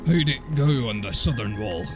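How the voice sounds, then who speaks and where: A man voicing a bold, animated adventurer in a dramatised fantasy audio story. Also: mid-range voice, normal speed, over music, natural voice. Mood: urgent.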